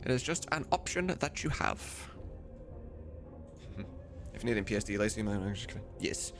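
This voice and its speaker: Low, gravelly man chatting on a livestream. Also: expressive, moderate pace, a bit bright. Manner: informal, sweary, muttering to himself while working. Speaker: streamer and game modder. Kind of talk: casual livestream work chat. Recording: music playing behind. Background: music, computer keyboard typing.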